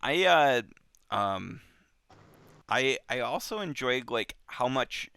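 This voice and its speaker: A man with a bright, smooth voice talks through a book in a casual, conversational way. He speaks slowly and haltingly, circling back over his phrases. The sound is close and very dry. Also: mid-range voice, sing-song, dry recording. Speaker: book club show host.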